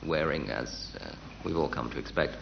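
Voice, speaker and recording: A man's voice: very deep voice, animated, normal speed, creaky. A man performing mock-serious, drawling wordplay as a panellist on a radio comedy show. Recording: muffled, very noisy live radio recording. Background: loud studio audience laughter.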